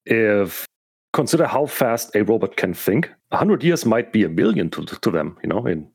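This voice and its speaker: Deepish husky man playing a tabletop game. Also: very animated, moderate pace, a little mellow. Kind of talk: casual banter.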